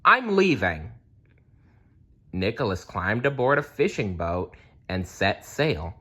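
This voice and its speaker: Slow, bright-voiced man reading a story. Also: lowish voice, expressive. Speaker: read-aloud storyteller. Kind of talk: children's story read-aloud with comments.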